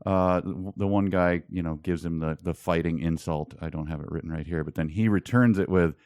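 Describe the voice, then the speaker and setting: A man's voice: low voice, fairly even intonation, brisk, darkish tone, smooth, no echo, clean studio sound. A man co-hosting a podcast in casual chat about a book, with an amused, mock-puzzled and emphatic manner. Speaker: podcast co-host.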